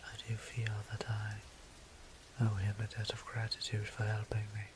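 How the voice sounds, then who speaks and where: A man playing a weary, courteous immortal vampire priest in an audio drama, speaking formal, old-fashioned English in a breathy, gravelly near-whisper. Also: lowish voice, completely flat delivery, moderate pace, background noise, close to an everyday voice. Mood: fond, musing. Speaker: voice actor. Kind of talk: scripted audio drama monologue.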